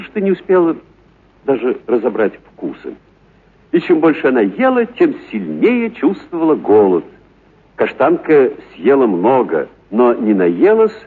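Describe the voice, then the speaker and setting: A man reading literary prose for radio as an actor, with dramatic, deliberate pauses and stressed words. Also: medium pitch, husky. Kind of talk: expressive literary narration for radio. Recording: narrow, phone-like radio recording.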